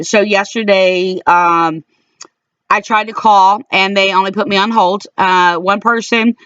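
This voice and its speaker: A middle-aged woman telling of her mistreatment in a halting, aggrieved way, full of fillers and restarts. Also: mid-range voice, fairly bright tone, clean tone, dry recording. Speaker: complainant recounting calls to police. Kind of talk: personal recorded monologue. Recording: dull lo-fi dry recording.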